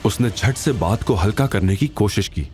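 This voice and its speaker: A man narrating an audio drama in a scripted, dramatic style with expressive, suspenseful delivery. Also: on the low side, normal speed, faint music behind.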